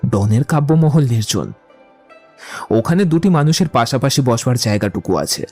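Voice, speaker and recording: Witty, eloquent young romantic man for audio drama, male actor, theatrical, lively swings in pitch, soft music behind. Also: natural voice. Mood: fervent, poetic. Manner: eloquent, playful, declamatory. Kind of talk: dramatised audiobook dialogue acting.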